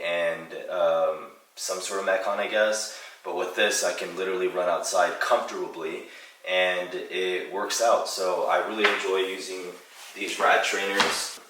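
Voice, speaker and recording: Low, flat-voiced congested young man. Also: recorded from a distance. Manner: relaxed, rambling, many fillers. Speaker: CrossFit athlete. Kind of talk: casual vlog chat. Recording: echoey room, picked up from afar, loud music.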